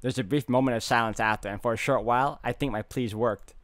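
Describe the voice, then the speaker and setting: A man's voice: mid-range voice, fairly even intonation, fast talker, fairly bright tone, dry recording, clean studio sound. A man hosting a gaming video, reading a visual novel aloud in a theatrical, suspenseful way. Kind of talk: dramatic read-aloud of visual novel game with player commentary. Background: game music.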